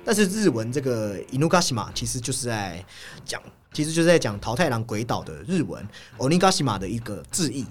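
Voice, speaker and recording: A man's voice: medium pitch, big pitch swings, fairly quick, slight background noise. A man hosting a film discussion, explaining and analyzing in an explanatory way, often repeating words and phrases. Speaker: film podcast host.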